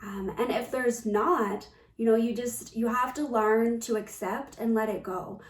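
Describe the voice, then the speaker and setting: A woman giving a reflective spiritual talk as a coach, gentle and earnest, often pausing mid-phrase. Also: on the high side, steady tone. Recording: roomy echo, distant from the mic.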